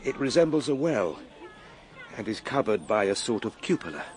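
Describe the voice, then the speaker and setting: An actor playing an earnest, excitable Victorian time traveller in a radio play, speaking in a formal, declamatory way. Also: male voice, fairly low pitch, normal speed. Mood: curious, observant. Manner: declamatory, formal, spoken as a live log. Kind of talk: dramatised radio play monologue.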